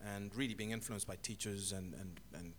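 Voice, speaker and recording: A middle-aged man from Zimbabwe, a guest telling his life story in an interview, with thoughtful, halting speech and many restarts. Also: fairly low pitch, steady tone. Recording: echoey hall with faint noise.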